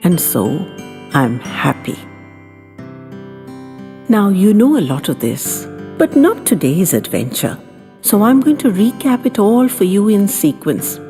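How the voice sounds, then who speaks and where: A woman's voice: medium pitch, big pitch swings, slow, long pauses, dark tone. A woman narrating a scripted first-person story as an elderly widow reminiscing, in a chatty, wry manner. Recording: long reverberant hall sound, faint music.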